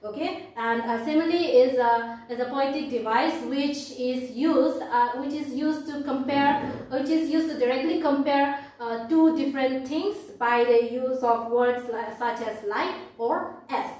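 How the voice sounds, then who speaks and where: A young woman with an Indian accent teaching an English lesson in a classroom, explaining in a halting, repetitive way and reading aloud. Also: high voice, darkish tone. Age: young adult. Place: indoor classroom at a blackboard. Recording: very echoey room, distant microphone.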